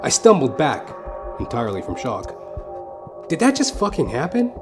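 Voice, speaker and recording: A man's voice: mid-range voice, huge pitch swings, moderate pace. A man delivering scripted comic narration for an audio play, with theatrical, mock-literary phrasing. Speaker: comedian and storyteller. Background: music.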